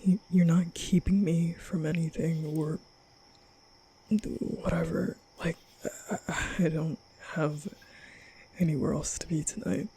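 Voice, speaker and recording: A man playing a nervous, kind-hearted young vampire in an ASMR roleplay, speaking softly and often whispering, with hesitant, flustered stammering. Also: fairly high pitch, flat delivery, measured pace, slight background noise, low-quality recording. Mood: hesitant, softened. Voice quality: soft, breathy, often whispering.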